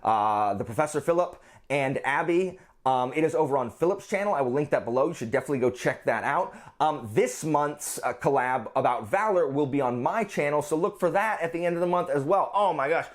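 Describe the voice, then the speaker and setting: Higher-pitched man gushing about books. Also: animated, a little fast, hardly any pauses. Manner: gushing, emphatic, repeats phrases for emphasis. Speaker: book reviewer and channel host. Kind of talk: casual chatty book vlog.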